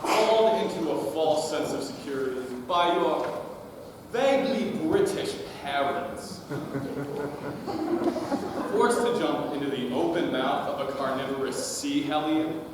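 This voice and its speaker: A man's voice: fairly high pitch, a little slow, close to an everyday voice. A man playing a bombastic, self-promoting explorer and tour guide in a theatrical stage comedy, with grand declamatory delivery. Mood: ominous. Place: high school theater stage, distant mic. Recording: echoey hall from far off, noisy.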